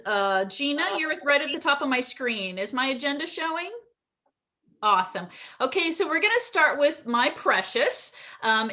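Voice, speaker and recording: A middle-aged woman with a fairly high voice leads an online teacher training over a video call. She talks in quick, upbeat bursts, full of ums and uhs. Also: pushed and bright. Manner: fast, upbeat, frequent ums.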